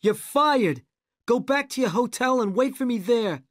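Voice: expressive, unhurried, dry recording, clean studio sound, close to an everyday voice. A reader voicing a bossy adult male film director who barks orders in a raised, commanding voice. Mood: angry shouting. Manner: loud, commanding, raised pitch. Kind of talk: audiobook character voice.

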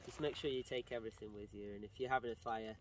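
A man with a relaxed, rambling manner, chatting casually outdoors by an estuary for a vlog. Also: lowish voice, fast, rough recording. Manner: relaxed, rambling, many fillers. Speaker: vlogger showing a campsite view. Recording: outdoor noise behind.

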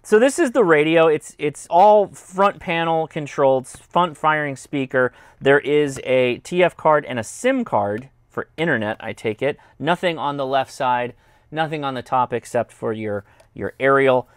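A man's voice: on the high side, measured pace, smooth, no echo. An American man in his thirties giving a casual, explanatory product review and demonstration. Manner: informal, explanatory. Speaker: host, radio reviewer. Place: indoors, home radio studio and workbench.

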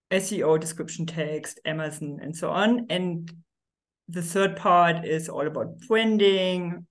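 German-accented woman, webinar host, casual and filler-heavy, video-call sound. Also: low-pitched. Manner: chatty, many fillers like "like" and "uh". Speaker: webinar host, publishing agency founder.

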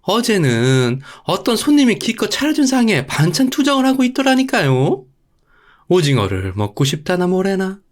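Man putting on a high, nagging, feisty wife. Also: wildly swooping pitch, normal speed. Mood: indignant griping. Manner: nagging, indignant. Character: feisty, hot-tempered wife of a rich man.

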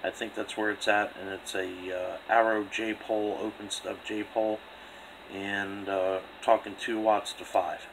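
Low-voiced man chatting on a radio test. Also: little pitch movement, unhurried. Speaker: two-way radio operator demonstrating equipment. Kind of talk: casual radio test chat. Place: indoor room beside a radio set. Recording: faint background noise.

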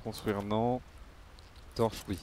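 A man's voice: lowish voice, level tone, slow pace, a little mellow, slight background noise. A man giving casual live game commentary, muttering to himself and swearing freely. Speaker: game streamer.

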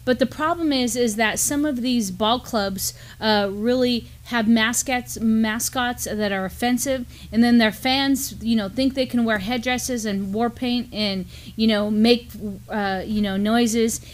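A woman's voice: a little high, measured pace, few pauses. A middle-aged woman co-hosting a news discussion, speaking in an earnest, conversational way. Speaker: co-host and educator. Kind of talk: casual news commentary. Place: indoor studio desk, backdrop.

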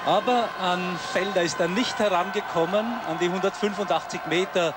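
A man's voice: high-pitched, steady tone, normal speed. A man giving live ski flying commentary on television. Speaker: sports commentator. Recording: noisy outdoor sports broadcast, crowd noise.

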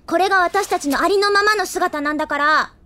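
A woman playing a headstrong, impetuous high school girl in an anime audio drama, assertive and quick to pout. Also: sharp tone. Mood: pouty, angry. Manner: assertive, pouty, girlish.

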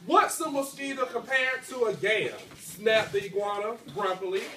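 A man's voice: high voice, moderate pace, pushed and bright. A man in his thirties reading aloud to a class as their teacher, often stopping to check they understand. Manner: checks understanding often, tagging phrases with okay. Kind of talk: classroom read-aloud with questions to pupils. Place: school classroom, indoors. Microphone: phone held by someone across the room. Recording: echoey noisy classroom from a distance, phone recording.

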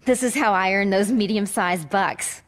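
A woman's voice: mid-range voice, normal speed, close to an everyday voice. A woman playing an eager, perky young computer whiz in a video game, chirpy and quippy. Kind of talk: video game cutscene acting.

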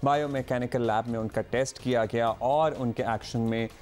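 A man's voice: medium pitch, steady tone, moderate pace, a little mellow. A male news anchor in a TV studio, speaking conversationally and mixing in English phrases.

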